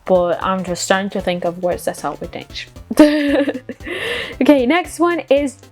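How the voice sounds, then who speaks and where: A woman's voice: medium pitch, expressive, slow pace, darkish tone, faint music behind. A woman with a foreign accent giving a casual explainer vlog, chatty and giggly in a self-deprecating way. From outside the UK. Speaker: vlogger.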